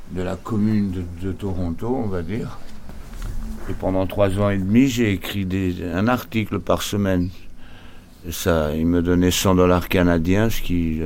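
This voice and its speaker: A man's voice: sits low, a little mellow, slight background noise. A man who runs a laundromat, chatting casually in an interview, often stumbling and repeating words. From Portugal. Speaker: laundromat owner.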